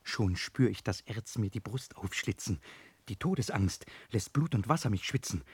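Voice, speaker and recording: An actor playing a frightened thief in hiding, speaking hushed, anxious verse in a radio play. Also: male voice, lowish voice, animated, moderate pace, breathy edge, no room sound, full character voice. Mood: fearful. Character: fearful male thief, a fence's son in hiding.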